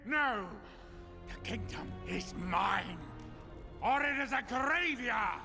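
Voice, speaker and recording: An actor playing a cruel, haughty defeated king in an animated fantasy cinematic, with an imperious, theatrical, drawn-out delivery. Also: extremely high pitch, gravelly, long reverb tail, heavily acted. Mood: defiant, fanatical. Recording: music behind the voice.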